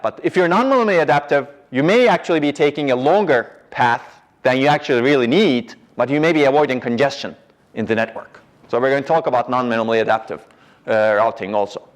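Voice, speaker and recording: A man's voice: medium pitch, quick pace. A middle-aged man giving a university lecture in a large hall, explaining step by step and often checking in with "right?". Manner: explanatory, pointing at a slide, frequent "right?" and restarts.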